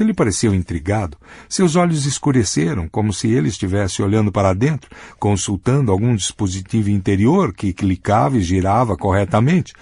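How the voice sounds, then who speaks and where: A man with a husky voice reading a novel aloud as an audiobook narrator, giving characters small voice shifts in dialogue. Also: fairly low pitch, mellow, dry recording. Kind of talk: scripted narration.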